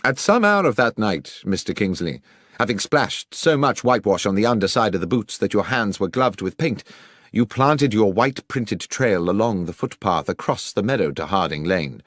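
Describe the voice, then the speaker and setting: A man's voice: on the low side, expressive, moderate pace, fairly bright tone, no room sound, clean studio sound. A man voicing a confident, incisive detective in an audiobook, speaking formally and precisely as he lays out deductions. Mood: assured, incisive. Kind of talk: audiobook character acting.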